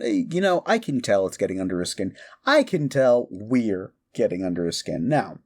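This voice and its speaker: Male actor as excitable necromancer trickster, very lively intonation, mid-pitched, rambling, stammering, comedic audio roleplay. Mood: gleeful scheming. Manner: rambling, stammering, animated, eager. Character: goofy excitable young modern necromancer prankster, cheerfully amoral. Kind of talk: comedic audio roleplay monologue addressed to listener.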